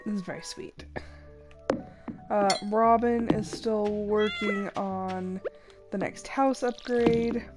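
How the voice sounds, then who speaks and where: A woman's voice: medium pitch, fairly even intonation, takes it slowly. A young adult woman streaming a game while congested and a little sick, chatting casually and apologizing for her voice. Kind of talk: casual gameplay commentary. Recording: game music behind voice.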